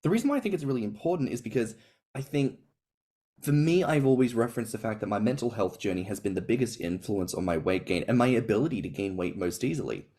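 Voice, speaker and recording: Guy on a podcast, kinda low voice, gets really emphatic and swoops up high. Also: fast talker. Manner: expressive, big pitch swings, emphatic. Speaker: podcast co-host or guest. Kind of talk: casual podcast chat.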